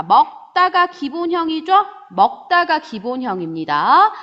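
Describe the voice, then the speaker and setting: A woman teaching a grammar lesson in a lively way, answering imagined student questions and stretching out example words. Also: high voice, highs cut off. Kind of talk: online grammar lecture.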